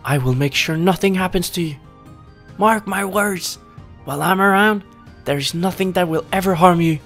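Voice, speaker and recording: High-pitched, tender skeleton minion. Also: male voice, moderate pace, fairly bright tone, soft background music. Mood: reassuring, earnest. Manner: soothing, tender reassurance. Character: gentle animated skeleton minion, earnest and protective. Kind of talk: fantasy audio play character voice.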